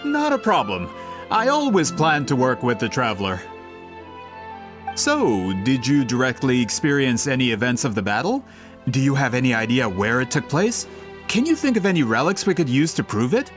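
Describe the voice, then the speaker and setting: A man playing a suave, scheming gentleman employer in an anime-style video game, polished, formal and flattering. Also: medium pitch, moderate pace, over music, close to an everyday voice. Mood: eager, courteous.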